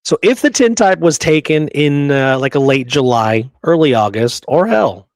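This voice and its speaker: A man's voice: a little high, animated, normal speed, no echo, clean studio sound. A man co-hosting a casual discussion, speaking emphatically and often checking in with short rhetorical questions. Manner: emphatic, rhetorical check-ins like "right?" and "okay?". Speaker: podcast co-host.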